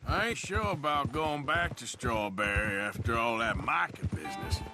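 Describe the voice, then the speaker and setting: An actor playing a wary, plain-spoken outlaw with an American accent and a dry, laconic manner in a Western game. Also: male voice, mid-range voice, animated, normal speed, music in the background, close to an everyday voice. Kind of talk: game cutscene dialogue.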